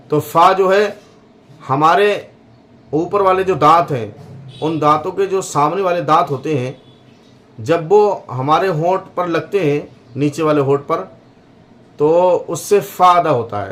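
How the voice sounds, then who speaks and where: A man giving a slow religious lesson on pronouncing letters, repeating each sound to demonstrate it. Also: a little high. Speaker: Quran teacher.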